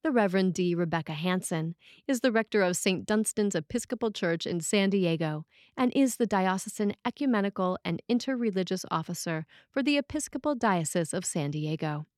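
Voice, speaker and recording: A woman priest reads a scripted sermon in a measured, deliberate way, with pauses between phrases. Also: medium pitch, lively intonation, a little mellow, dry recording, clean studio sound.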